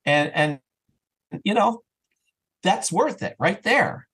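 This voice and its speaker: A man talking casually as an interview guest about his creative work, telling things in an animated, self-interrupting way. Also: high voice, slow pace, husky. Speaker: audio drama writer and actor, interview guest.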